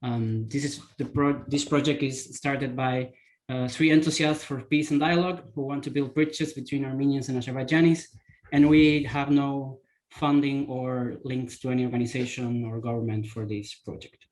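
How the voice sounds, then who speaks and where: A man from Argentina, co-founder and co-host, opening an online meeting over a video call, halting with fillers and restarts. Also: medium pitch, fairly even intonation, a little mellow, rough recording. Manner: hesitant, with many fillers and restarts.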